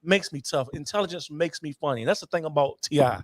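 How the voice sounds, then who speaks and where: A man co-hosting a podcast in casual chat, talking things through thoughtfully and clearing his throat now and then. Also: on the high side, animated, fast, dry recording. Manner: thoughtful, many fillers and restarts, throat-clearing. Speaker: podcast co-host.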